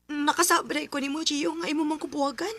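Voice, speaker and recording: A young woman playing a calm, polite teenage schoolgirl in a radio drama. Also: high voice, fairly quick. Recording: loud cricket ambience behind. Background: crickets and insects.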